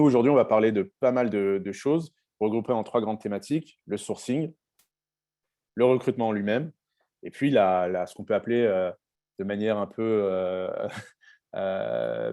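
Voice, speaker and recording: A French man hosting a panel discussion in a warm, mellow, fairly low voice. He talks loosely and hesitantly, restarting phrases and filling pauses as he introduces each topic. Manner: relaxed, hesitant, with frequent fillers and restarts. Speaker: webinar host. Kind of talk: hosting a live panel webinar.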